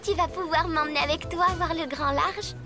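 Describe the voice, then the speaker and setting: A woman voicing a lively, opinionated young schoolgirl in a cartoon, quick to judge. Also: very high voice, music in the background. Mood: pleased. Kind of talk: cartoon dub.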